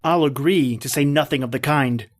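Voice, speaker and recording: An actor playing a tense, determined hunted man, narrating his ordeal gravely and deliberately as in an old-time radio drama. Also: mid-range voice, lightly acted. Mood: defiant. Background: background music.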